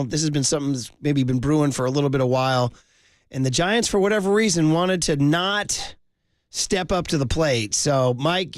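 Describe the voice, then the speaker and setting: A man hosting a call-in sports radio show, energetic and joking with callers from a studio microphone. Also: on the high side, no echo. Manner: energetic, quick, jokey.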